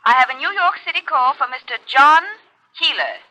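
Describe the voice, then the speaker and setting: An actress playing a formal long-distance telephone operator in a 1940s radio drama. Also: female voice, sits high, animated, moderate pace, pushed and bright, exaggerated voice. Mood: businesslike.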